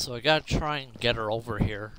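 A man's voice: medium pitch, fast, noisy background. A man giving casual gameplay commentary, muttering to himself as he thinks aloud. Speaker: gamer hosting a roleplay video series.

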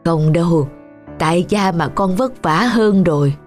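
A woman voicing a frail, wise old father in a cartoon folktale, giving counsel gently. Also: fairly low pitch, a little slow, over music, natural voice. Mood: patient, advising.

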